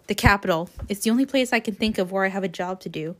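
A woman's voice: medium pitch, fast, a bit bright, natural voice. Storybook part: resolute young woman, emotionally drained and set on her course female reader. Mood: numb resolve. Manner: numb, determined. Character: numb, resolute young woman narrator-heroine.

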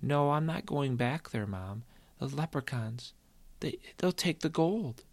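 A man's voice: medium pitch, animated, measured pace, no room sound, voice pushed up. A man putting on an eager, stammering six-year-old boy. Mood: excited.

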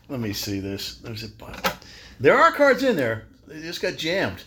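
A man's voice: a little high, wildly swooping pitch, unhurried. A man as a podcast guest, chatting playfully, laughing often and stumbling over his words. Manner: giggly, stammering, playful. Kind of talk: casual chat. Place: home library. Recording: clear background noise with clicks.

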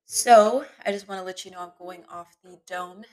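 A woman hosting her own podcast, talking off the cuff in a candid, rambling way, her voice sometimes smooth and sometimes raspy. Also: fairly low pitch, normal speed, low-quality recording. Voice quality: voice shifts between smooth and raspy. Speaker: podcast host. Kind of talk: unscripted solo podcast monologue. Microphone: computer mic in web browser.

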